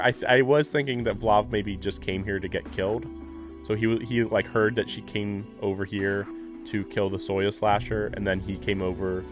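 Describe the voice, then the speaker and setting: A man streaming a visual novel, reading its text aloud and reacting in a rambling, casual way, with swearing and short laughs. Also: on the low side. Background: game music.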